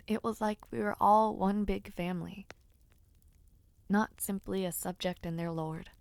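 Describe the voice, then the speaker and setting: A woman's voice: medium pitch, no room sound. Woman as young noble lady, aspiring knight, formal and fond, close dry mic, mid-range voice. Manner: formal, genteel speech with soft hesitant fillers. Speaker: audio roleplay performer. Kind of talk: intimate audio roleplay.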